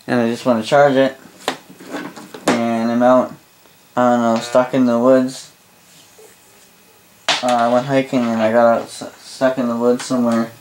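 A Canadian man casually demonstrating a gadget as a reviewer, halting, rambling and correcting himself as he goes. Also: mid-range voice, noisy background. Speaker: product reviewer. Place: small indoor room at a desk.

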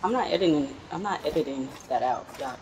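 A woman's voice: sits low, lively intonation, brisk, heavy background noise. A young adult woman giving blunt, sassy, casual reaction commentary while playing a video game. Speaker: gaming YouTuber. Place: indoor room at home. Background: game music.

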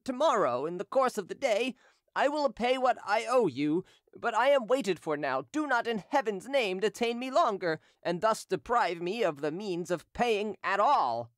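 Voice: medium pitch, expressive, moderate pace, dry recording, studio quality, voice pushed up, natural voice. A reader voicing a cool, scheming man who pleads and placates his accuser. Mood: pleading. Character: scheming man, smooth and conciliatory under pressure.

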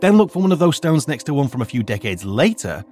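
An actor playing a retired archaeologist turned high school teacher, measured and lecturing in an audio drama. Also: male voice, big pitch swings, a little mellow, faint music behind, close to an everyday voice.

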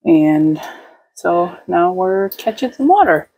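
A young woman casually narrating a walkthrough of a camper she is fixing up, relaxed and chatty with frequent ums. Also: fairly low pitch, slow, dull audio, a little hiss or hum. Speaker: vlog host. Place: inside a gutted camper trailer.

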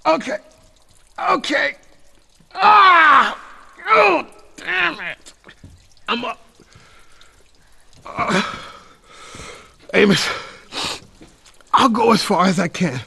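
Gravelly, weary wounded older man. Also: very high voice, expressive, extremely slow, pauses often, pushed and bright, full character voice. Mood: pained, pleading. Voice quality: gravelly, husky. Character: wounded older man, weary, gruff, self-doubting survivor. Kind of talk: post-apocalyptic audio drama acting.

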